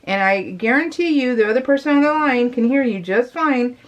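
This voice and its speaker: A woman's voice: sits high, animated, moderate pace, highs cut off. A middle-aged woman vlogger chatting casually in a rambling, emphatic, confiding way. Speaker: craft vlogger. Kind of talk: casual chat.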